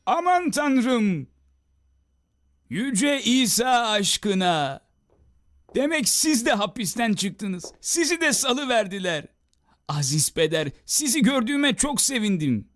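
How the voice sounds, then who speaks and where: Humble cartoon townsman, very high voice, effusive, devout and grateful. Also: very animated, slow, fairly bright tone, exaggerated voice. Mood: excited, grateful. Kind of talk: cartoon voice acting.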